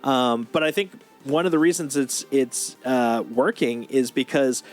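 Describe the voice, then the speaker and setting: A man's voice: medium pitch, measured pace. Rambling male streamer over music. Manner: rambling, thinking aloud. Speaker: livestream host. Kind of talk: casual opinionated discussion.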